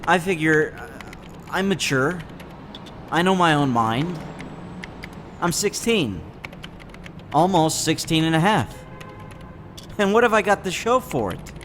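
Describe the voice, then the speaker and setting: A man voicing a gloomy, cynical sixteen-year-old boy in a deliberate, disaffected monologue for a comic dub. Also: medium pitch, a bit bright. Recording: clearly noisy background.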